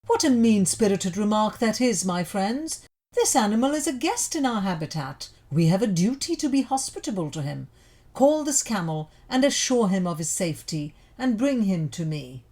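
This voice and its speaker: A noble lion who leads the animals in a storybook fable, played by a woman. He speaks formally and earnestly, like a hospitable ruler, with lively, sweeping intonation. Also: medium pitch, moderate pace. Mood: indignant.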